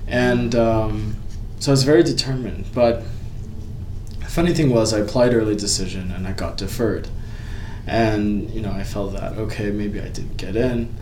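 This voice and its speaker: A young man from California talks openly and with self-deprecating humor in a low, easy voice. He sounds a bit removed from the mic, in a room with a roomy echo and a faint hum behind him. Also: normal speed. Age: 20s. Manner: candid, self-deprecating, lively pitch jumps when storytelling. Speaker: guest. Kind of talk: casual interview chat. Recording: echoey room, mic at a distance, faint background noise.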